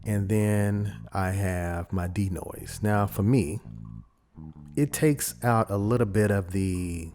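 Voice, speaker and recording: A man presenting a casual software tutorial, explaining informally and thinking aloud as he goes. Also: lowish voice, slow. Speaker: audio editing tutorial presenter.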